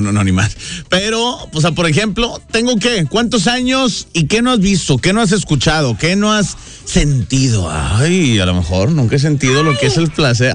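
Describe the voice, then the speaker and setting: A man in his thirties with a northern Mexican accent hosting a radio show in slangy, exuberant casual talk, repeating phrases for emphasis. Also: fairly high pitch. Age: 30s. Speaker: radio host. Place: radio studio. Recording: radio broadcast with music underneath.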